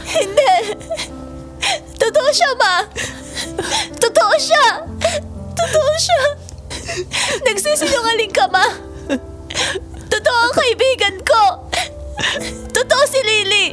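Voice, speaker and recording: A woman's voice: very high-pitched, slow pace, pauses often, fairly bright tone, full character voice. An actress playing an earnest young girl in a Filipino audio drama. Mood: tearful, desperate. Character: earnest young girl insisting her imaginary friend is real. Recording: music under the voice.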